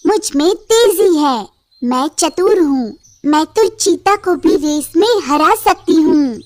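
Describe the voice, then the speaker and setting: Woman as a high-voiced, outspoken cartoon deer. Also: expressive, slow pace, a little mellow, no room sound. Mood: defiant. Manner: challenging, outspoken. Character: proud, clever, kind female musk deer. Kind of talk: animated animal moral story.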